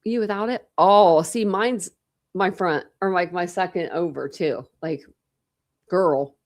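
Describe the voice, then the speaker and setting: A woman in her forties chats loosely with her livestream audience, restarting her sentences as she thinks aloud. Her voice sits a little low and carries a gravelly edge. A slight lisp from a dental retainer softens some of her words. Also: slow. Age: 40s. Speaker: true crime livestream host. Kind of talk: casual livestream chat with viewers.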